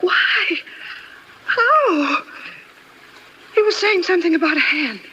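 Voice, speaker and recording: A muffled vintage radio recording carries an anxious, distressed woman. Her voice is high and sharp, in melodramatic mid-century radio style. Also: animated, slow, slight background noise, heavily acted. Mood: shocked, distraught. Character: distraught woman questioning a doctor. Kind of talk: 1950s radio drama acting.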